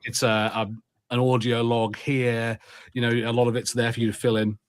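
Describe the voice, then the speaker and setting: A man co-hosting a casual podcast, talking in long animated rambles at a medium pitch. His voice is recorded dry and close, with no room sound. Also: normal speed, smooth, no echo. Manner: rambling, animated, laughs easily. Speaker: podcast co-host.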